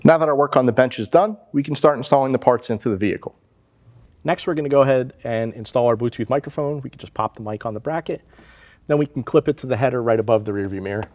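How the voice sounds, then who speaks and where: A man's voice: medium pitch, fast talker, darkish tone. An American man in his forties giving a casual, plain-spoken step-by-step tutorial as an installation instructor.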